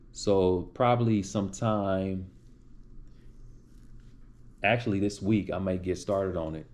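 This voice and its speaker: A man's voice: lowish voice, darkish tone. A man hosting a casual live stream, answering viewer chat in a loose, rambling way with many restarts. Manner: reads out and replies to chat names.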